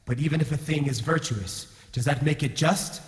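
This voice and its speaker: An actor playing a bitter, righteous male warrior in an anime fan dub, speaking in a solemn, deliberate, dramatic way. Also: on the high side, natural voice. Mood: righteous, brooding. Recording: echoey, long cavernous reverb.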